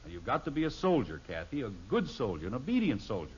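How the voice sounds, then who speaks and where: A man's voice: mid-range voice, huge pitch swings, quick pace, darkish tone, a little hiss or hum. An actor playing a strict, exasperated middle-aged father with an American accent, lecturing and commanding in 1950s radio sitcom style. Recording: muffled vintage radio recording.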